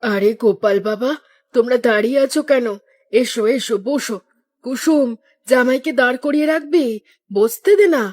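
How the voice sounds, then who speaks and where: Actress as middle-aged cartoon matriarch, village mother, fussing, high voice. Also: normal speed, a little mellow. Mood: hospitable, fussing. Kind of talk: cartoon voice acting.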